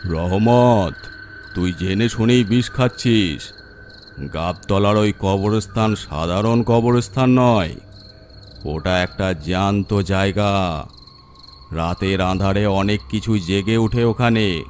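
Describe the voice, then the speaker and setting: A man's voice: fairly low pitch, slow pace, darkish tone, slight background noise. A man voicing an ominous, grave village elder in a horror story. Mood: grave warning. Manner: grave, foreboding.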